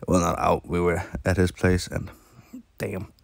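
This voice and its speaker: A man with a sore, hoarse throat, rambling through casual commentary while playing a mobile game, with lots of fillers and restarts. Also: low-pitched, flat delivery, measured pace, no room sound.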